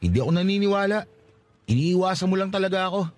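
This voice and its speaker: A man's voice: sits high, expressive, fast, a little mellow. An actor playing a lovestruck, persistent young working-class man, pleading insistently in a radio drama. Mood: hurt, accusing. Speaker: voice actor in a radio-style love story. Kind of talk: Filipino radio drama acting.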